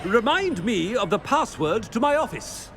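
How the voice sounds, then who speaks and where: An actor playing a pompous, irritable British headmaster in a video game, blustering and haughty. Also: male voice, sits high, big pitch swings, moderate pace, a bit bright, full character voice. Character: pompous, irritable male headmaster, flustered and imperious. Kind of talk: video game character acting.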